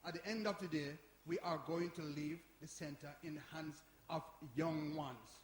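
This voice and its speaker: An actor playing a principled, indignant older man in a stage play, halting and repeating words as he argues. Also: fairly high pitch, a little hiss or hum, lightly acted. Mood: cautious, firm. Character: principled, indignant older man defending a youth community centre. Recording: echoey live hall performance.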